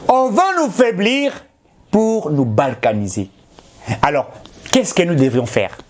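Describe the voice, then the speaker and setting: A man's voice: on the high side, sing-song, measured pace. A middle-aged man from DR Congo with a Congolese French accent, speaking as a political commentator straight to his audience, emphatic and insistent. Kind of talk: impassioned direct-to-camera address.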